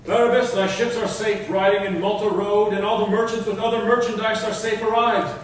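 An actor playing a dutiful merchant's messenger, delivering formal, projected verse in a theatrical staged reading. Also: male voice, sits high, fairly even intonation, a little fast, natural voice. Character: dutiful merchant messenger reporting to his patron. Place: stage of an auditorium. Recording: echoey hall, distant, faint noise.

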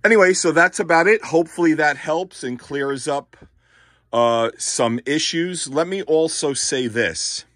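A man hosting a ham radio channel, explaining in a slow, methodical, step-by-step way with a few fillers, from his home radio room. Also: a little high, a bit bright, dry recording, clean studio sound. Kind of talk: casual show-and-tell explanation. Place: home radio shack full of equipment.

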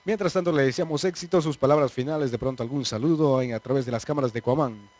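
A man in his thirties presents a live show as host. His voice sits at a medium pitch, picked up on a handheld microphone in a small studio. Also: quick pace, a little mellow.

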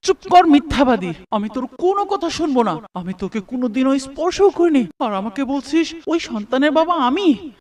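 A man's voice: extremely high pitch, sing-song, normal speed. A man voicing a hot-tempered young husband in a melodramatic cartoon, emphatic and exclamatory. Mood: furious. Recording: distant from the microphone.

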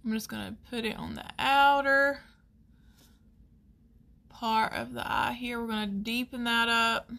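A woman with a Southern US accent chatting casually through a makeup tutorial, folksy and rambling as she thinks out loud. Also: on the high side, steady tone, unhurried, vocal fry, long pauses. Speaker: makeup artist hosting a tutorial.

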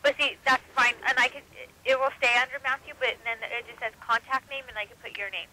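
A woman telemarketer giving a phone sales pitch in an informal, persistent manner. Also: fairly even intonation, fast, sharp tone. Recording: noisy telephone line.

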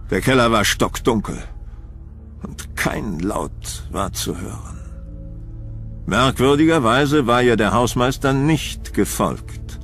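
A man narrating a scripted horror story in a dramatic, suspenseful manner. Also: lowish voice.

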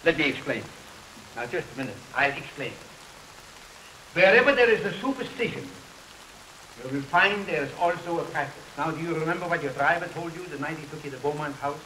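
An actor in a 1930s film playing an earnest older man who explains dark matters haltingly and emphatically, repeating his phrases. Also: on the high side, lively intonation, low-quality recording. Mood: earnest, insistent. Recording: hissy 1930s film soundtrack under rain. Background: rain and thunderstorm ambiance.

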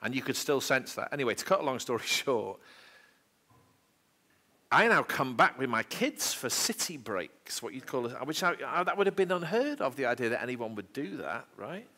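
A man's voice: medium pitch, sing-song, moderate pace, sharp tone. A middle-aged Englishman from the Manchester area telling rambling, self-deprecating stories to an audience at a public talk, speaking into a headset mic in a small lecture room. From England, Manchester area. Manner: rambling, self-deprecating anecdotes with many asides; gestures with his hands while talking. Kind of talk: storytelling talk before an audience.

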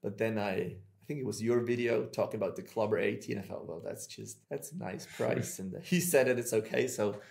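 A Swiss man in his thirties hosts a casual podcast from a small room at home. He tells his stories in an animated, chatty way. The sound comes through earbuds on a video call. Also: fairly low pitch, fairly quick, creaky, few pauses. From Switzerland. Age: 30s. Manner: chatty, animated storytelling with hand gestures. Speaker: podcast host.

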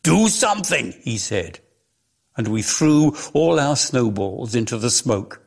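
A man giving a dramatic reading of a literary story in a measured, theatrical way, lingering on his words. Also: mid-range voice, creaky, a little mellow. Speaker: reader.

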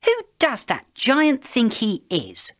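A man's voice: very high voice, huge pitch swings, slow pace, a bit bright, pitched above the performer's own voice, full character voice. A man voicing a young, brave shepherd boy who speaks up earnestly and with spirit in a storybook tale. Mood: indignant.

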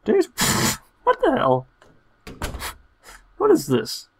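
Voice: mid-range voice, huge pitch swings, very slow, slight background noise. A let's player giving playful game commentary in a goofy, sarcastic, theatrical way.

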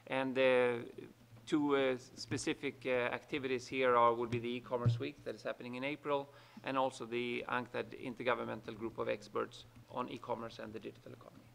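A man on a conference panel speaks into a table microphone in a large meeting room. His voice is gravelly and pitched in the middle. He talks haltingly, with many pauses and fillers. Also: level tone, a bit bright, a little hiss or hum. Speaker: panelist, international organization.

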